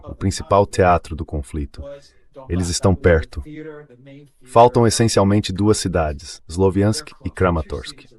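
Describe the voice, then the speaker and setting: A low, deep-set male voice, AI-generated as a dub, delivers political analysis as an interview guest. He is assertive and restates his points for emphasis. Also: darkish tone. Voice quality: AI-generated dubbed voice.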